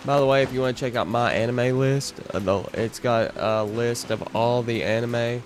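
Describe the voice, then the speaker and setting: A man's voice: medium pitch, moderate pace. A young man streaming a game, chatting casually and rambling to his viewers. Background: game music.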